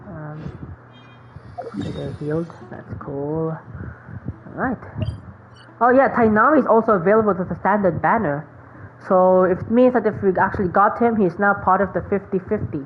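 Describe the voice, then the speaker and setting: A woman's voice: medium pitch, normal speed, pauses often. A woman giving casual, rambling gameplay commentary, thinking aloud as she streams. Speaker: gaming streamer. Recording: thin phone-like mic, noisy.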